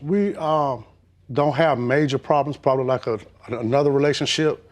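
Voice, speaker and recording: A man testifying on a court show, hesitant and defensive, filling pauses with "um". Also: medium pitch.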